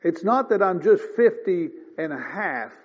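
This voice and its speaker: A man in his fifties preaching a sermon in a folksy, conversational way, often clearing his throat. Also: high-pitched, very animated, measured pace. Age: 50s. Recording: dull lo-fi sound with long reverb.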